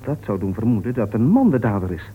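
An actor playing a deferential, matter-of-fact police sergeant in a Dutch radio play. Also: male voice, fairly low pitch, big pitch swings, fast talker. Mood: dutiful, earnest. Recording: dull, noisy old radio play recording.

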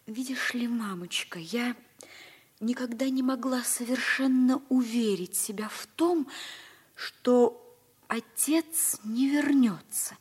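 A high, very breathy schoolgirl in a vintage radio play. She is earnest, tender and protective, with a pleading theatrical delivery. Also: slow pace, a little mellow. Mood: earnest, hesitant. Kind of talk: mid-century Soviet radio stage drama. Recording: old radio play recording.

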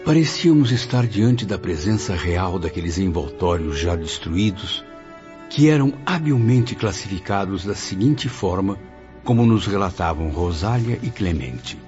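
An actor playing a male spirit who gravely recounts his memories in a radio drama, with dramatic, literary delivery. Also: sits low, animated, a little slow. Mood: grave, awed. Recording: muffled recording over music.

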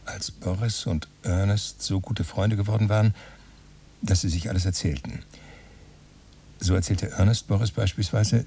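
A pedantic radio-play narrator who reckons and itemizes in a dry way. The voice is deep and mellow, set a little back from the microphone. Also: male voice, recorded from a distance, slight background noise. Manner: matter-of-fact, enumerating.

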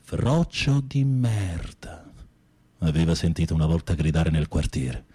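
A deep, husky, wistful male prisoner. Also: big pitch swings, a little slow, warm tone, heavily acted. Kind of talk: radio drama acting.

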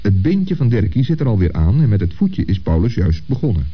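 A man narrating a scripted 1960s children's story in an expressive, deliberate storytelling manner. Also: lowish voice, husky. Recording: dull vintage lo-fi recording.

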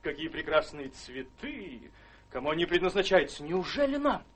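An actor playing a carefree, charming young officer and rake in a radio drama, with a breezy, gallant, theatrical way of talking. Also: male voice, a little high, very animated, moderate pace, breathy edge. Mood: jovial, gallant.

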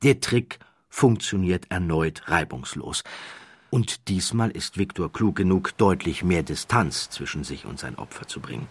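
A man narrating an audiobook story in a measured, deliberate way with theatrical emphasis, close to a studio microphone. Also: sits low, animated, breathy edge. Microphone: close studio microphone, dry.

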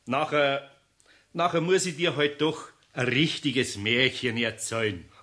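A man's voice: mid-range voice, sharp tone. A man with a Bavarian accent playing a kindly, good-natured older master carpenter in a radio play, chuckling heartily. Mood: fond. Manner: chuckling, hearty, drawn-out exclamations. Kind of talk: 1960s German radio play acting.

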